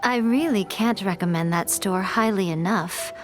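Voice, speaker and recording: A woman's voice: mid-range voice, moderate pace, natural voice. An actress playing a sly, elegant lady who teases in a languid, silky way in a video game. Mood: coy, teasing. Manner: languid, silky, coyly teasing. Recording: background music under the voice.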